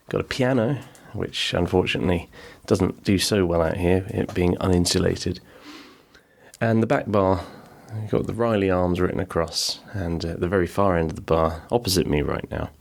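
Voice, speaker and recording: Low, gravelly, rambling podcast host. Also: male voice. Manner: rambling, chatty, self-deprecating. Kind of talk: casual chat. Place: small home-built pub in a garden outbuilding.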